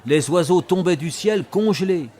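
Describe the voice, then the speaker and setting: A man's voice: high-pitched, expressive, moderate pace, mellow, some chatter behind. An actor playing a talkative old ex-soldier, telling his story conversationally and teasingly in an audio drama. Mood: wry, reminiscing. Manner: conversational, teasing, addresses the listener directly. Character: talkative old male ex-soldier telling tall tales in a tavern.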